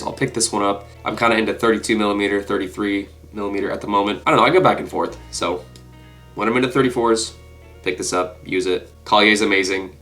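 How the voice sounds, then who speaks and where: A man's voice: on the low side, a bit bright. A man in his twenties, congested with a cold, chatting casually to camera as he shows off a collection. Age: 20s. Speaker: video creator. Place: small furnished room indoors.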